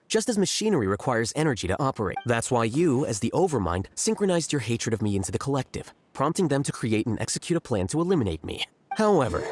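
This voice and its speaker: A calm, aloof genius scholar in a video game who explains things precisely and drily. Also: medium pitch, noisy background, lightly acted.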